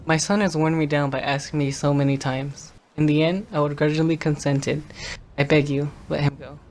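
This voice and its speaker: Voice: mid-range voice, level tone, moderate pace, darkish tone, slight background noise, lightly acted. A performer playing an earnest member of a royal court, politely entreating in modernised stage drama dialogue. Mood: pleading.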